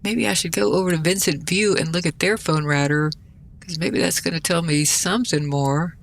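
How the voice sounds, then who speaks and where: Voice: mid-range voice, moderate pace, a bit bright. A gameplay host giving casual, rambling commentary, muttering and thinking aloud in a self-deprecating way. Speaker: gaming video host.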